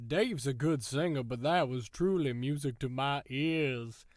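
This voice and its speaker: A man's voice: mid-range voice, a little slow, no echo, studio quality, close to an everyday voice. A man playing a domineering prison warden in an audio drama, sardonic and menacing, with theatrical, taunting sarcasm. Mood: smug command.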